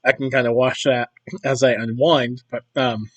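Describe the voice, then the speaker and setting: A man losing his voice, chatting casually and rambling while playing a video game, often clearing his throat. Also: mid-range voice, steady tone, normal speed. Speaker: gaming YouTuber. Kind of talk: casual commentary over gameplay.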